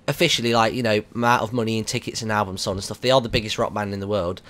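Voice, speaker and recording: Fast-talking British male radio interviewer. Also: fairly low pitch, steady tone, fairly bright tone. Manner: informal, chatty, rambling. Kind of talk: casual radio interview chat.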